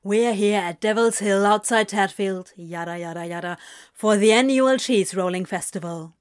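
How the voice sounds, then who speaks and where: A woman voicing a grumpy, irritated man who sounds flat and weary. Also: mid-range voice, unhurried, natural voice.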